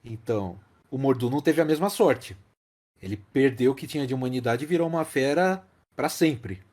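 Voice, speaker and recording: Animated Brazilian man explaining on a podcast. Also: medium pitch, moderate pace. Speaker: podcast host. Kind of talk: casual film discussion.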